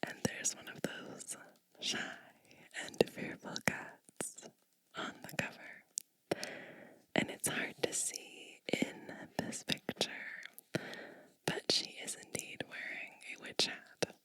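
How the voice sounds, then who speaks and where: Very slow, whispering woman doing ASMR. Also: rough recording. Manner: hushed, unhurried, pausing between short phrases. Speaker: ASMR host reading children's storybooks. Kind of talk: whispered ASMR, introducing a storybook.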